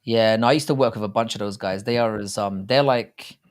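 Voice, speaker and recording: A man's voice: fairly low pitch, fairly quick. A middle-aged British man co-hosting a casual live sports chat, blunt and sweary as he rambles through banter. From Britain. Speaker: live stream co-host.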